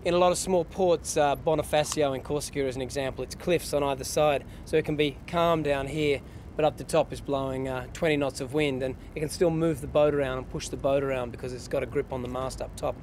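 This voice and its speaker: A man's voice: medium pitch, steady tone, brisk, darkish tone, slight background noise. A man in his forties with an Australian accent, a yacht captain casually explaining his work. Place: outdoors on a yacht deck and dockside.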